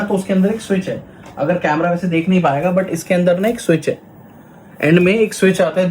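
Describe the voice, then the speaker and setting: Higher-pitched Indian man in an echoey room. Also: darkish tone. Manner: explanatory, switches between Hindi and English. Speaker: toy shop presenter. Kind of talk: casual product demo. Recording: echoey room, background noise and clicking.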